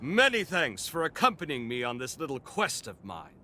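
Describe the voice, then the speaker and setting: A man playing a grandiose, eccentric, tea-loving gentleman in a video game, with a florid, pompous and theatrical way of talking. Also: huge pitch swings, normal speed, slight background noise, heavily acted. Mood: undeterred. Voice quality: high-pitched, swooping delivery. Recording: music behind the voice. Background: clear background music.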